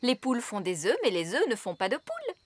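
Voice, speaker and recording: A woman voicing a bossy, self-assured fairy nanny in a cartoon dub, with a brisk, theatrical manner. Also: a little high, wildly swooping pitch, background noise. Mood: matter-of-fact.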